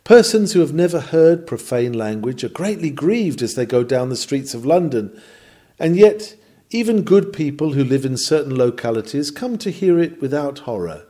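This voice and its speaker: A man's voice: mid-range voice, very animated, normal speed, mellow. A man reading a scripted sermon in a solemn, emphatic, rhetorical manner. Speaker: reader of a historical sermon. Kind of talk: sermon, read from a script with oratorical delivery.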